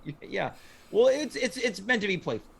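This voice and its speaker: A man's voice: sits high, very animated, normal speed, vocal fry. A man hosting a talk show in a casual, chatty style. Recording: noticeable background noise.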